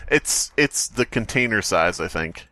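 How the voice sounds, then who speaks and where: A man's voice: fairly low pitch, lively intonation, a little slow, sharp tone, no echo. A man co-hosting a casual podcast who rambles, hedges and critiques the stories. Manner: rambling, lots of fillers and hedges. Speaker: podcast co-host.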